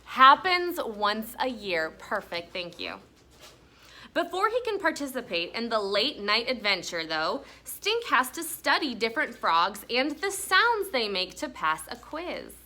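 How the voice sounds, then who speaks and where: A woman's voice: a little high, big pitch swings, a little slow, pushed and bright. A woman teaching an elementary class, asking questions and reading a story aloud to pupils. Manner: asks questions, echoes pupils' answers. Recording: faint room noise.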